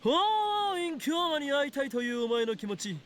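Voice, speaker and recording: An actor playing a grandiose, theatrical young self-styled mad scientist in a Japanese visual novel, with a pompous, dramatic delivery. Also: male voice, extremely high pitch, big pitch swings, racing, fairly bright tone, faint music behind, full character voice. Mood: grandiose boasting.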